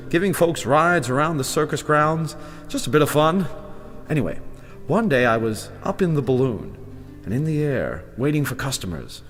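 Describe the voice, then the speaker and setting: An older man playing a timid humbug wizard and former circus balloonist, stammering and pleading in a fantasy audio drama. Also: on the high side. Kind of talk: full-cast fantasy audio drama acting. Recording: long reverb, music behind.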